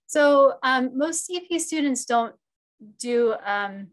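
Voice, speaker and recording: A woman in her forties, a program chair, answering questions on a video call through wired earbuds. She pauses often and rethinks her answers as she goes. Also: high-pitched, lively intonation. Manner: hesitant, many fillers and restarts, thinks aloud. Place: room at home.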